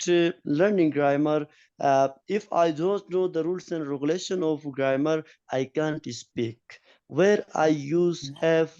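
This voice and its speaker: A man's voice: on the high side, mellow, clear, resonant tone. Hesitant Urdu-speaking man on muffled call. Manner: hesitant, many "uh"s, argumentative. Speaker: student. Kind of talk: online class discussion. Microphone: video call. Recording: muffled video-call audio.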